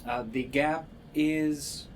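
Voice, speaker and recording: A man running a tabletop game, improvising scene descriptions in a halting way as he searches for words. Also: fairly high pitch, fairly even intonation, noisy background. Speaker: game master.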